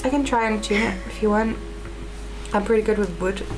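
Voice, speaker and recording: A woman's voice: mid-range voice, fairly quick, low-quality recording. A woman playing a tabletop game, bantering in character in a playful, teasing way. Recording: loud music behind the voice. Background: ukulele music.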